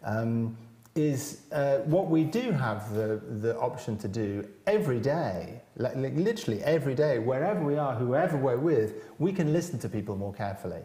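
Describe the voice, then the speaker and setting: A man's voice: medium pitch, normal speed, few pauses. Man talking in an echoey hall. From Britain. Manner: thoughtful, animated with hand gestures. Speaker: cellist guest. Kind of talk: panel conversation. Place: large hall with high windows.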